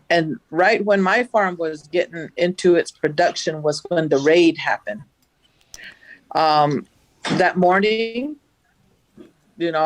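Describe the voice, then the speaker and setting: A woman from the Navajo Nation, a farmer, recounting events in a studio interview with a loud voice and an animated telling. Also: fairly low pitch, no room sound, low-quality recording. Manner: animated, acts out the dialogue she recounts. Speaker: farmer, guest.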